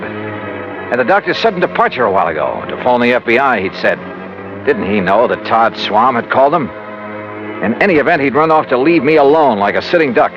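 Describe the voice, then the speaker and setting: An actor playing a tough, wry American insurance investigator in a 1950s radio detective drama, narrating in a conversational way. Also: male voice, lowish voice, natural voice. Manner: hard-boiled, wry, conversational. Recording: muffled old radio recording with music.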